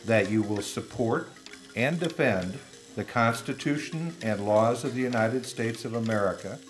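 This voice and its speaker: A man's voice: medium pitch, measured pace, faint music behind. A man formally and steadily administering a citizenship oath.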